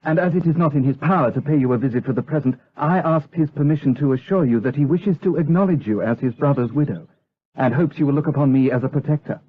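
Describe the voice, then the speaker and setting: An actor playing a courteous, eager young gentleman suitor in a period audio drama, deferential in manner. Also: on the high side, fast talker, a little hiss or hum, close to an everyday voice. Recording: dull lo-fi radio drama recording.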